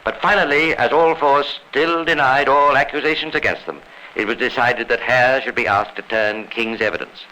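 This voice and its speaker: A man narrating as a grave, authoritative storyteller in 1950s radio crime drama, formal, dramatic and deliberate. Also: medium pitch, bright, forceful tone, natural voice, poor audio. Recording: old radio recording with faint noise.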